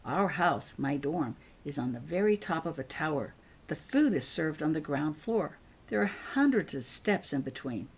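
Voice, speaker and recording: Storybook schoolgirl, earnest and open, slightly husky played by a female narrator. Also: low-pitched, very animated, normal speed, full character voice. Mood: chatty. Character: earnest schoolgirl home for the holidays, attached to her cat.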